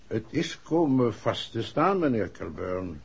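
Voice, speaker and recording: An actor playing an authoritative, impatient senior police chief in a 1960s Dutch radio play. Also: male voice, on the low side, very animated, unhurried, creaky, husky, exaggerated voice. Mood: formal. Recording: dull, muffled vintage radio recording.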